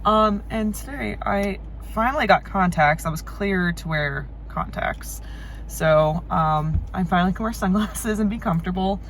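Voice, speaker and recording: A woman's voice: mid-range voice, a little slow, a little hiss or hum. A young woman talking casually to camera in a vlog, chatty with lots of ums, her nose stuffy from a sinus infection. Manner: chatty, many ums, rambling asides. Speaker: vlogger.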